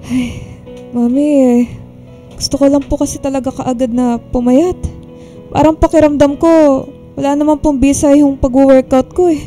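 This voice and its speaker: A woman's voice: high voice, fairly even intonation, normal speed, pauses often, warm tone, soft background music, natural voice. A woman playing a timid, polite young adult Filipino daughter in a radio drama, speaking hesitantly and evasively. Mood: meek, listless.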